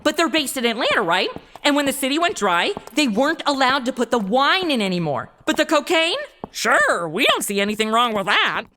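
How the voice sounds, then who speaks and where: A brash young American woman with a high, bright voice. She is sarcastic and blunt, swooping animatedly through her slangy lines in a comic audio drama. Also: big pitch swings, brisk, no room sound, heavily acted. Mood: chatty, breezy.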